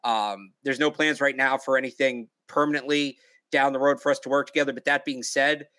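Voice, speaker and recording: A young man co-hosting a sports podcast in casual chat, halting and full of fillers and restarts. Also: mid-range voice, steady tone, bright, forceful tone, no echo. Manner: halting, filler-heavy, repeats words. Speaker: podcast co-host.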